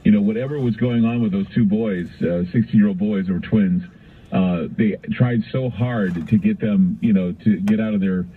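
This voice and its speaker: A man's voice: on the low side, normal speed, a little hiss or hum. A middle-aged American man hosting a call-in radio show, telling stories in a rambling, conversational way that circles back on phrases. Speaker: radio talk show host. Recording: tinny, narrow-band radio sound.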